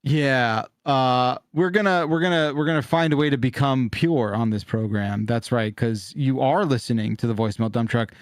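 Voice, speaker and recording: A middle-aged man hosting a call-in podcast in casual chat, often halting and restarting his sentences. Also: medium pitch, dry recording, studio quality. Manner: rambling, halting, self-interrupting. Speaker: podcast host of a call-in show.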